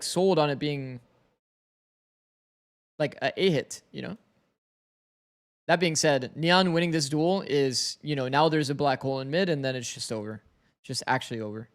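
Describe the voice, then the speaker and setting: Talkative streamer with a slightly raspy voice. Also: male voice, fairly high pitch. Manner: talkative, thinks aloud, chats with viewers. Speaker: streamer co-streaming an esports match. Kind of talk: casual match analysis.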